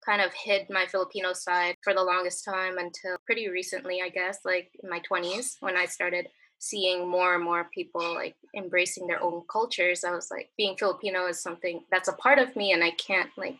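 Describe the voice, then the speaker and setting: A woman with a bright, forceful voice talking casually in a group chat. Her speech stops and starts, full of "like" and "um" as she searches for words. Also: lowish voice, little pitch movement, brisk, few pauses, low-quality recording. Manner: hesitant, full of "like" and "um". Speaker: guest, artist and photographer.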